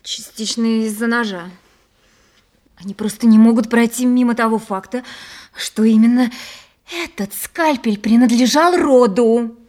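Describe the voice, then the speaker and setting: An actress plays an anxious, insistent young woman in a radio play. Her voice sits on the high side and is emotional and pointed, with theatrical urgency. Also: measured pace, a little mellow, close to an everyday voice. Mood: urgent, insistent. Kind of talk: Russian radio play acting.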